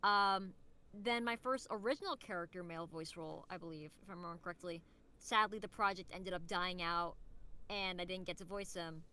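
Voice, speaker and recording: A woman's voice: fairly low pitch, brisk, bright, forceful tone, smooth. A young woman in casual podcast chat, enthusiastic and rambling, peppering her sentences with "like". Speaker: voice actor, podcast guest.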